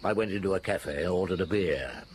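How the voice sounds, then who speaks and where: Actor as a shrewd, low-voiced police inspector. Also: male voice, brisk, noisy background, lightly acted. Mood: musing, puzzled. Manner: probing, conversational, punctuated with questioning hums. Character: shrewd, probing male police chief inspector. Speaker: lead actor and narrator. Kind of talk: radio drama acting and first-person narration.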